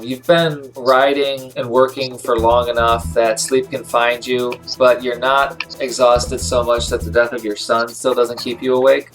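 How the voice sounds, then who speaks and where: A game master narrating a tabletop role-playing game in plain conversational storytelling over an online call. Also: medium pitch. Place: remote call, music played behind. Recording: background guitar music, online group call.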